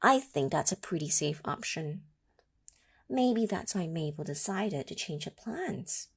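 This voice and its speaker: A woman reads a children's picture book aloud slowly, in an expressive and encouraging manner. Her voice is gravelly with fry, and her pitch swings widely as she asks her listeners questions. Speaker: storytime reader.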